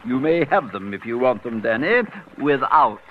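An actor playing a cocky, wordy police underling with a florid, precise delivery in 1940s radio drama. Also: male voice, mid-range voice, expressive, normal speed, natural voice. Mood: self-pleased. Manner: florid, precise, self-pleased. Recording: dull old radio recording, faint noise.

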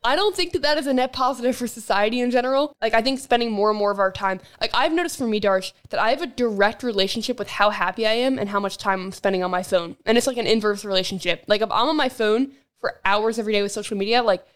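A woman's voice: on the high side, fast, hardly any pauses. A young woman co-hosting a casual tech podcast, talking in a conversational, reflective way. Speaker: podcast co-host.

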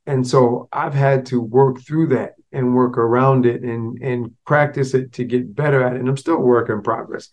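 A man talks through his own solo podcast at an even, mid-range pitch. A slight stutter makes him repeat and restart words, and he mixes street slang with business vocabulary. The recording sounds a little dull. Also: moderate pace, hardly any pauses. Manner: conversational, street slang mixed with business terms. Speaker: podcast host. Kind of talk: casual solo podcast talk.

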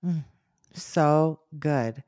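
A woman in her thirties whose native tongue is Mandarin, co-hosting a casual faith podcast with earnest, animated talk that speeds up in bursts. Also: sits low, extremely slow, no room sound, studio quality. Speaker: podcast co-host.

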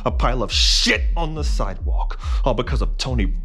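An actor playing a crude, washed-up male private detective in a noir parody podcast, gruff, blunt and sardonic. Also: mid-range voice, animated, brisk, fairly bright tone, faint music behind. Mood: bitter, angry. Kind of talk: hard-boiled noir parody audio drama acting.

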